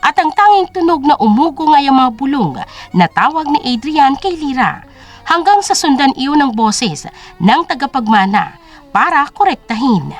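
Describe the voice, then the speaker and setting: A woman's voice: sits high, sing-song, normal speed. A woman storyteller reading dramatic scripted narration for an audio drama. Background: music.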